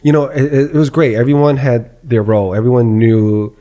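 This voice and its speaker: A man with a dark, mellow voice hosts a casual podcast chat. He talks excitedly and keeps repeating phrases for emphasis. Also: medium pitch, brisk. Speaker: podcast host.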